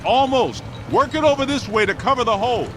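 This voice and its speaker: An actor playing a practical, skeptical ghost hunter in a video game, talking in a plain, colloquial way. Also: male voice, extremely high pitch, animated, a little fast, background noise. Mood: urging. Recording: music behind voice.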